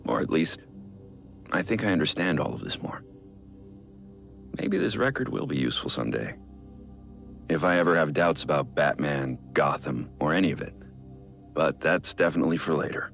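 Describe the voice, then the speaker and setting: A brooding vigilante billionaire in a game, super low gravelly voice, weary and earnest, talking to himself in an audio log. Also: male voice, lively intonation, a bit bright, muffled recording, faint music behind, exaggerated voice. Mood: reflective. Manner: brooding, measured, introspective. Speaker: video game character recording an audio log. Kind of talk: dramatic scripted monologue.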